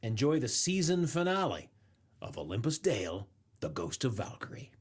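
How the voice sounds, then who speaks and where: A man's voice: mid-range voice, very animated, moderate pace, very noisy. A man hosting a podcast, making upbeat scripted announcements. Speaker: podcast host.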